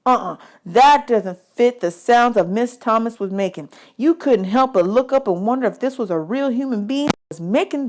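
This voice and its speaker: A woman reading a children's novel aloud as an expressive storyteller, drawing out words for emphasis. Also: medium pitch. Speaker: teacher reading a book aloud. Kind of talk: expressive audiobook read-aloud of a children's novel in first person.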